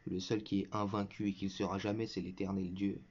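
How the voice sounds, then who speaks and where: A man with a fairly low voice delivers a heated religious rant straight at an opponent, scolding and mocking by turns. His tone is lecturing and scornful, with bursts of derisive laughter. The recording sounds dull and lo-fi. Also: level tone, brisk, darkish tone, husky. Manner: scornful, lecturing, addresses listener directly as "tu". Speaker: online religious commentator. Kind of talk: combative reaction monologue to viewers. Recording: muffled lo-fi recording.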